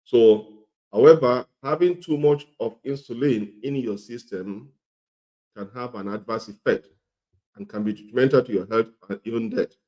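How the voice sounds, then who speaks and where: A middle-aged man with a cold and a slightly hoarse voice, teaching an informal health lesson, repeating his points and often asking "okay?". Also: mid-range voice, normal speed, warm tone, low-quality recording. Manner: repetitive, often checks in with "okay?". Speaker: health educator.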